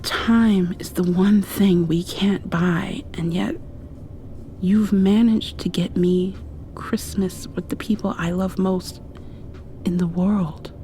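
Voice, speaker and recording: Slow whispering woman, soft and mellow. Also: fairly low pitch, steady tone, slight background noise, rough recording. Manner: drifts between whispering and soft speech; affectionate, playfully scolding. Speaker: ASMR roleplay creator. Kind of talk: ASMR roleplay.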